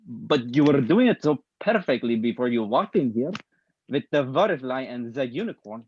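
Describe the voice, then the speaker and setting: A man's voice: medium pitch, big pitch swings, normal speed, husky, dry recording. Guy playing a snooty old acting professor, scolds everybody, lecturing tone, kinda muffled sound. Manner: brusque, condescending and lecturing. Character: stern old male theater professor, impatient and scolding. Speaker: table read actor. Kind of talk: play table read.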